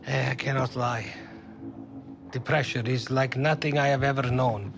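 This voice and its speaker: An actor playing a passionate, burdened football manager with an Italian accent in a video game cutscene. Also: male voice, mid-range voice, steady tone, moderate pace, close to an everyday voice. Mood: burdened, earnest. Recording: music behind the voice.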